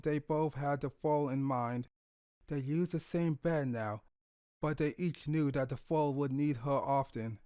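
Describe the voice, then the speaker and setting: Higher-pitched male story narrator, dry sound. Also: a little mellow, no room sound. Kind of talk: scripted narration of a romantic audio story.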